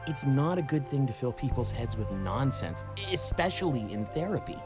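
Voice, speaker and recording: A man's voice: medium pitch, big pitch swings, normal speed, natural voice. A man voicing a frank, cautious but sociable male furry friend in a visual novel. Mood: earnest, concerned. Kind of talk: visual novel character dialogue. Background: game music.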